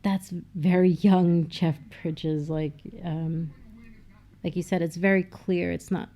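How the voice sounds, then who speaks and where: A woman with a nasal voice, co-hosting a casual film commentary with quick asides and plenty of fillers. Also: fairly low pitch, fairly even intonation, dark tone. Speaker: podcast co-host.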